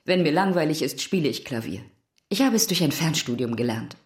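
An actress playing a friendly, solitary motel keeper who chats in an unhurried way in a radio play. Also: female voice, sits low, lively intonation, husky, no echo, clean studio sound. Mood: friendly, calm. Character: friendly, solitary female motel keeper in American desert town.

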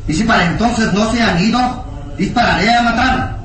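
A man's voice: high-pitched, normal speed, fairly bright tone. An actor playing a burly, hostile peasant man in an old radio serial, blustering threats. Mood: angry, threatening. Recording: roomy, noisy old radio drama recording.

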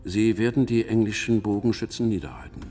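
An actor playing a French nobleman commander who lays out battle orders in a measured, commanding way in a radio drama. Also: on the low side, lively intonation, mellow, breathy edge. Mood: commanding, assured. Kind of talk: radio drama acting, military briefing. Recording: long hall reverb, faint music behind.

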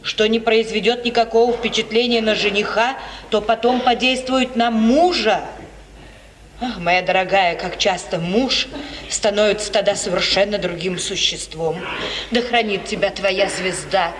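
A woman plays a worldly, anxious mother of middle years, with a medium-pitched voice. Her delivery is theatrical, emphatic and persuasive, as in 1950s radio tragedy. The old recording has a light hiss and sounds slightly distant. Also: fairly even intonation, unhurried, lightly acted. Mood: urging, cautioning.

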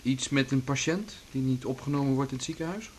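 An actor playing a gentle but excitable cellist, a coaxing and musing male partner, in a naturalistic radio drama. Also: medium pitch, brisk, husky, natural voice. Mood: teasing, curious.

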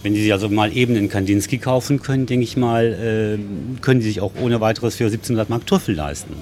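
A man's voice: fairly low pitch, fast, a little mellow, slight background noise. A man who works as a market trader, talking casually and matter-of-factly in an interview.

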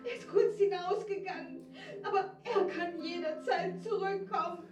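Frightened young captive, very high voice. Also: female voice, steady tone, normal speed. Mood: frightened, pleading. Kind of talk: radio drama acting. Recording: echoing, distant, music behind.

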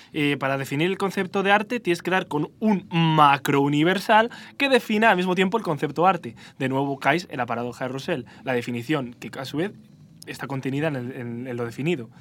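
A man's voice: fairly high pitch, fairly quick. A man with a Spanish accent hosting a podcast debate on art, explaining ideas at length and often correcting himself. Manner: explanatory, self-correcting, animated. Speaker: podcast host. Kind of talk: philosophical debate.

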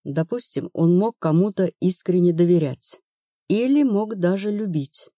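A woman narrator playing a brisk, rational female investigator in an audiobook, blunt and assured. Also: fairly low pitch, expressive, mellow, no room sound, studio quality. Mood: insistent. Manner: matter-of-fact, assured.

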